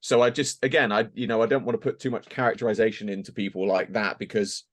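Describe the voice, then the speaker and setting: A man's voice: on the low side, steady tone, fast. A man chatting casually on a podcast as a voice actor guest, rambling a little and hesitant as he thinks aloud.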